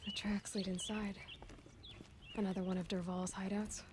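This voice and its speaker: A woman's voice: mid-range voice, flat delivery, normal speed, background noise, natural voice. A woman playing a determined, watchful young warrior tracker in a video game, speaking in a terse, matter-of-fact way. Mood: focused, musing. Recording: music behind the voice.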